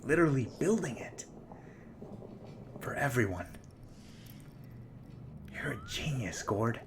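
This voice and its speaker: A man's voice: medium pitch, big pitch swings, noisy background. A man playing a nervous, joking older-brother pilot. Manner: nervous, joking, fast-talking bluffs. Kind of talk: fan audio drama acting.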